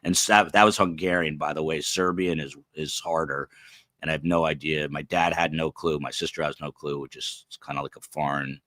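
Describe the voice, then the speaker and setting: A man in his fifties with a very low, bright and forceful voice, hosting a podcast. He rambles, restarts and asks pointed questions, sounding tired. The sound is dry and close. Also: steady tone, fairly quick, no echo. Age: 50s. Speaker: podcast host. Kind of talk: casual live-stream talk.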